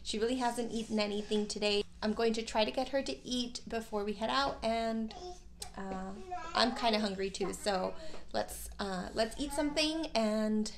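A woman's voice: a little high, moderate pace, slight background noise. A woman in her thirties, chatting casually to her phone camera at home and in the car, rambling with fillers and little laughs. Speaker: vlogger, mom. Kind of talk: casual daily vlog chat. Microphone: phone camera at arm's length.

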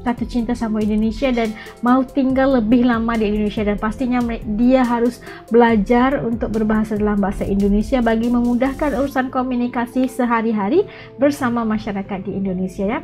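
A woman's voice: a little high, a little fast, a little mellow, faint music behind. A middle-aged woman with a Malaysian accent, hosting a reaction video with casual commentary to the camera. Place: small home studio room.

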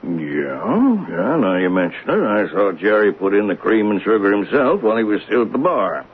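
An actor playing an earnest, shaken train conductor in a 1970s radio drama, sometimes hesitant. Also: male voice, fairly low pitch, sing-song, normal speed. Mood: earnest, uneasy. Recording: tinny, hissy 1970s radio recording.

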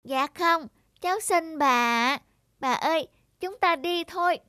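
A woman's voice: very high voice, takes it slowly, pushed and bright, no echo, clean studio sound, heavily acted. A woman voicing a kind-hearted, earnest and polite little girl in a cartoon. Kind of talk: cartoon voice acting.

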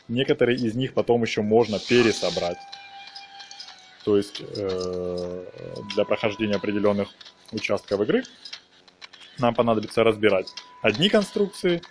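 A man's voice: fairly low pitch, a little mellow, slight background noise. A man giving casual, chatty game commentary as a let's-play host, explaining hesitantly as he plays. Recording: game music behind the voice. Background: video game music.

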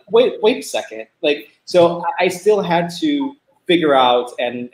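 A man in his thirties from Puerto Rico speaks earnestly on a video call, restarting his sentences and lifting his pitch when he makes a point. His voice sits at a middle pitch. The call audio has a roomy echo. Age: 30s. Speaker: panelist.

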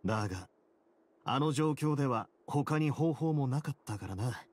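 Composed, shrewd duke with expressive intonation, played by a man. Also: medium pitch, close to an everyday voice. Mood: calm, shrewd. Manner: measured, calm, confidently explaining. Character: composed, shrewd male prison duke investigating a plot. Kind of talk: anime game voice acting.

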